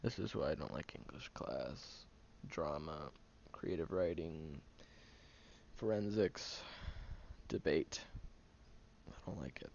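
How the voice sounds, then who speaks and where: A man playing a prickly, guarded young loner who grudgingly cares, in an intimate one-sided audio roleplay, sardonic and hesitant with breathy murmurs. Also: a bass voice, slow, a little mellow, exaggerated voice. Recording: muffled, lo-fi, noticeable background hiss.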